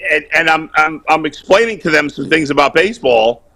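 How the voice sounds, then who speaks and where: Loud, raspy, opinionated sports talk man. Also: fairly high pitch, animated, brisk. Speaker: sports talk show panelist calling in from vacation. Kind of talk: casual sports banter and opinion. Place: remote video call while on vacation.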